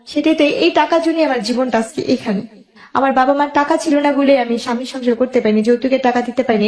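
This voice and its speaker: A woman's voice: fairly high pitch, darkish tone, echoey room, rough recording. A woman with a Bangladeshi accent tells her own life story as a guest, emotionally, quoting both sides of conversations as she goes.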